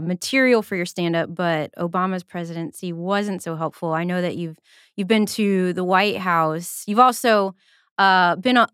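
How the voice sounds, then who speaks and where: A woman's voice: on the low side, a bit bright, clean tone, no echo, clean studio sound. A woman interviewing a guest, asking questions in a conversational way.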